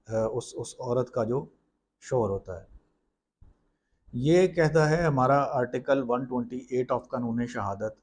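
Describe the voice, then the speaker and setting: A man's voice: medium pitch, a little mellow. A man with a Pakistani accent explains law in an informal lecture style, mixing in English legal terms.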